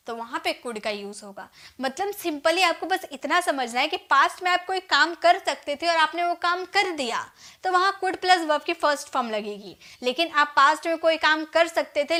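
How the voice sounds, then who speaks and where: An Indian woman teaching an English grammar lesson, explaining in Hindi and dictating example sentences slowly word by word. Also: high-pitched, pushed and bright.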